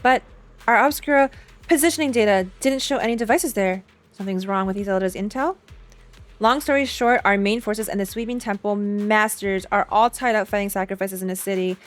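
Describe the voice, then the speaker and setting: A woman's voice: mid-range voice, moderate pace. Girl streamer reacting to a game, mid voice, casual and jokey, reads the dialogue out, bit of music behind.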